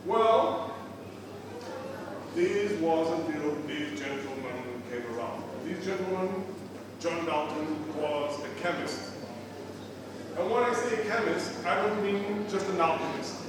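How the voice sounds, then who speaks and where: A middle-aged man from Portugal with a Portuguese accent, giving a lively, digressive science lecture to a live audience. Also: a little high, slow pace, background noise. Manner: lively, chatty, digressive with many asides. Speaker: guest lecturer. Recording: echoey hall, speaker far from mic.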